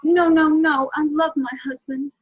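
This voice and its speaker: A woman playing a sultry, pleading cartoon femme fatale in an amateur table read of a film script, with a breathy delivery. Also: sits high, steady tone, measured pace. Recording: dull, lo-fi home recording.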